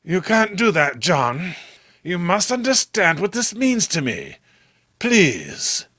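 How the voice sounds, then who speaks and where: Man acting obsessed comic-book man, animated and insistent. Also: high-pitched, slow, pitched above the performer's own voice, full character voice. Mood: pleading. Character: obsessed man stubbornly defending his monstrous beloved. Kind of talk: horror comic read-along acting.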